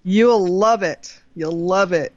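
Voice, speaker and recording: A woman's voice: lowish voice, slow pace, dry recording, lo-fi sound. A woman co-hosting a podcast in casual, informal, sweary chat. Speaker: podcast co-host.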